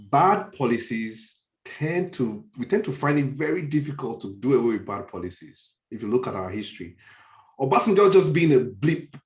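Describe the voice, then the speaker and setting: A man with a Nigerian accent in a conversational political discussion, speaking emphatically and repeating phrases as he reasons. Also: fairly low pitch. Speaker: podcast discussion panelist. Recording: roomy, echoey online call.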